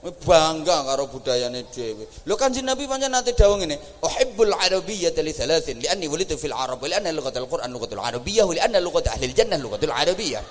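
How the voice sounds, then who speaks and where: Man, east javanese accent, joking preacher, high voice, long hall echo. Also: fast, few pauses. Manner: joking, animated, teasing the audience. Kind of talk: humorous religious sermon in Javanese and Indonesian. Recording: echoey hall.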